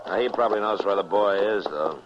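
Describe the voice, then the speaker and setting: An actor playing a stoic, watchful American frontier marshal, terse and plainspoken, in a Western radio drama. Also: male voice, low voice, fast, natural voice. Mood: plainspoken, calm. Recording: dull, noisy old radio recording.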